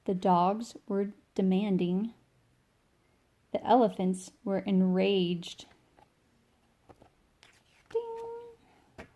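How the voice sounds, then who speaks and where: A woman reading a children's picture book aloud as an informal, expressive storyteller who stretches key words for young listeners. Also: mid-range voice, very slow, long pauses. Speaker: read-along storyteller.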